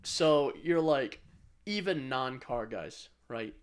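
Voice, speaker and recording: A man with a mid-pitched voice co-hosts a casual podcast. He gives his opinions bluntly and swears freely as he chats. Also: animated, slow pace, smooth. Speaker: podcast co-host.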